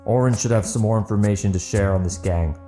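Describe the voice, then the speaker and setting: A man playing a stern, authoritative leader in a fantasy audiobook, speaking gravely and formally. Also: fairly low pitch, quick pace, dark tone, soft background music, natural voice.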